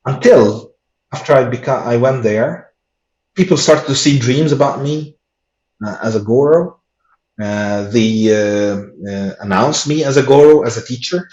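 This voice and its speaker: A man with giving a personal testimony in an interview, earnest and halting with many restarts. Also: medium pitch, darkish tone. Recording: echoey room, dull lo-fi sound.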